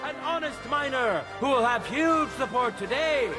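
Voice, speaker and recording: High-pitched, booming tournament herald. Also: male voice, expressive, normal speed. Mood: ceremonious, wry. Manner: booming, ceremonious, projecting to a crowd. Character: proud male medieval tournament herald rousing a crowd. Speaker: tournament announcer. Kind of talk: theatrical game voice acting, public proclamation. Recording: loud music and crowd behind voice.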